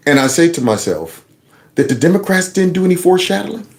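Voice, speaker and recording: A man giving casual political commentary on his channel, talking in a mocking, animated way. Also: sits high, fast talker. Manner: animated, mocking, slips into put-on voices. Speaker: commentary vlogger.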